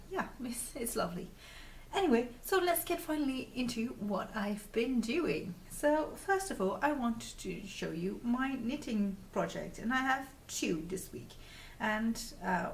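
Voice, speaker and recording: A woman's voice: mid-range voice, gravelly. Distant-miked woman with a Dutch accent, slight cold. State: recovering from a cold. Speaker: podcast host. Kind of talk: casual chatty craft vlog. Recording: distant mic with faint noise.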